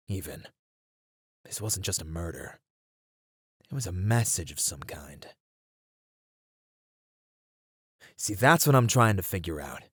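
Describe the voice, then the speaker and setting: A man's voice: medium pitch, no echo, clean studio sound. A man playing a weary, frustrated detective in an ASMR roleplay, talking conversationally to a partner and thinking aloud. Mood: grim, methodical. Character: weary, frustrated male detective venting about a serial case. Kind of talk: ASMR roleplay acting, spoken to an unheard partner.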